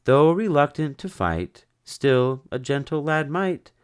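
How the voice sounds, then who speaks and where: A man narrating a rhyming children's story in an expressive, theatrical way. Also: a little high, slow pace, smooth. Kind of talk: dramatic rhyming children's story read-aloud.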